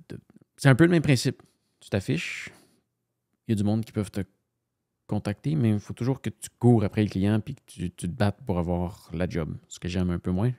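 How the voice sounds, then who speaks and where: A man's voice: low-pitched, gravelly, a little mellow, no room sound, studio quality. A man with a Canadian French accent, a voice-over narrator, chatting casually and rambling with many fillers and restarts as he thinks aloud. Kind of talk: casual screen-share commentary.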